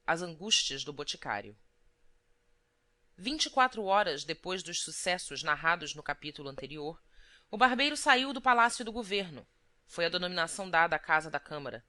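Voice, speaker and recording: A woman delivers scripted literary narration. Her voice is bright and forceful at a middling pitch. She phrases the prose formally and with measured care, as an audiobook reader.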